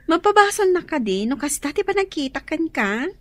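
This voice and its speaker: A woman's voice: high-pitched, big pitch swings, moderate pace. A woman acting as a playful, nosy young friend in an Ilocano radio drama, with exaggerated, teasing, sing-song delivery.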